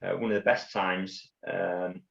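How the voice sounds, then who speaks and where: Middle aged yorkshire bloke, lowish voice, keeps restarting his sentences, dull lo-fi sound. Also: flat delivery, vocal fry. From Bradford, England. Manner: halting, many repeated words and restarts. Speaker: guest, former undercover police officer. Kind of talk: casual storytelling interview. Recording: dull lo-fi audio.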